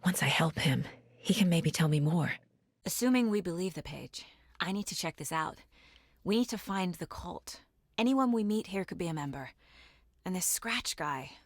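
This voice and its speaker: An actress playing a determined, sharp and guarded detective and mother in a video game, with naturalistic delivery. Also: fairly low pitch, lively intonation, moderate pace, dry recording, clean studio sound. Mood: businesslike.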